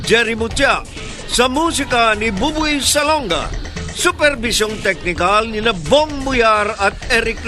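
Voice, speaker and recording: A Filipino man announcing for a radio drama from a script, with a formal, dramatic delivery. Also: extremely high pitch. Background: music.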